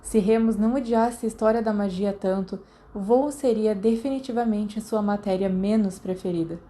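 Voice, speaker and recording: Mellow Brazilian woman narrating a story. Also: mid-range voice, moderate pace. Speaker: podfic narrator. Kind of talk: scripted audiobook narration.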